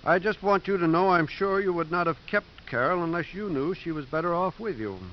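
A man's voice: high-pitched, brisk, natural voice. Kindly, earnest priest played by a man. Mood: concerned. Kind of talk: 1950s radio acting. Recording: hissy, dull 1950s radio recording.

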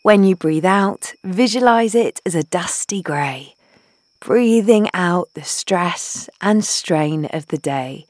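Slow, soothing woman guiding a meditation. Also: fairly low pitch, expressive, dry recording, studio quality. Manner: soothing, gentle, unhurried, phrases broken by long pauses. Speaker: guided meditation leader, founder. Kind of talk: guided meditation.

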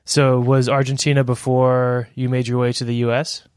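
A man's voice: medium pitch, little pitch movement, moderate pace, darkish tone, clean tone, no echo, clean studio sound. A man hosting a podcast, introducing his guest and asking questions in a friendly, plain-spoken way. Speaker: podcast host. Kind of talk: casual interview hosting.

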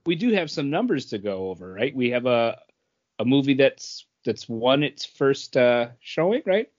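Animated man chatting on a podcast. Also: medium pitch, normal speed, lo-fi sound. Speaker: podcast co-host. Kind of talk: casual panel chat.

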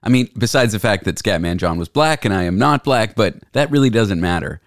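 A man's voice: on the low side, expressive, brisk, no room sound, studio quality. A man hosting a podcast in casual chat, playful and jokey, recorded over a remote call. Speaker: podcast host.

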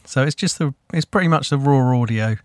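A man hosting a podcast, talking in a relaxed, rambling way with many fillers. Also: mid-range voice, a little fast, dry recording, clean studio sound. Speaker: podcast host. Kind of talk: casual interview chat.

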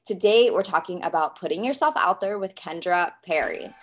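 A woman's voice: on the low side, animated, moderate pace, music in the background. A young woman from California hosting a podcast, sounding stuffed up and a little nasal from a cold, bubbly and gushing with frequent laughs. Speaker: podcast host. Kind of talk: upbeat podcast intro.

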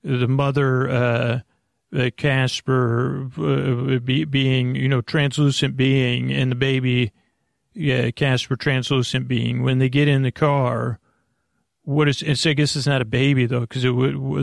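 A man hosting a bedtime podcast in a creaky, lulling voice, meandering and halting as he drifts off topic and loses his thread. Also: mid-range voice, level tone, no room sound, studio quality. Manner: lulling, soothing delivery. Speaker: host of a bedtime sleep podcast. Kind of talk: rambling, off-topic bedtime monologue.

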